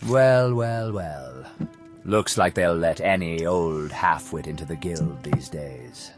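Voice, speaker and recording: An actor playing a haughty, condescending guild mage in a fantasy video game. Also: male voice, sits low, animated, soft background music. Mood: sneering. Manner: slow, condescending. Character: haughty, sneering male mages guild member. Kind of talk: video game character dialogue.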